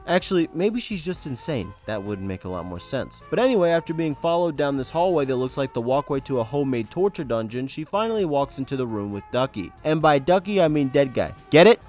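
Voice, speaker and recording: A man's voice: mid-range voice, sing-song, brisk, few pauses, darkish tone, soft background music. A man giving casual scripted commentary with joking asides and a dry, sarcastic, understated delivery. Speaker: video essayist, host.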